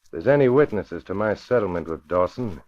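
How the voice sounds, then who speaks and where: A man's voice: deep voice, animated, fairly quick, darkish tone. An actor playing a cocky, vengeful escaped convict with slangy wise-guy talk, in 1940s radio style. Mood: cocky, scheming. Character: tough, scheming male escaped convict gangster, vengeful and cocky. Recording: muffled old radio recording.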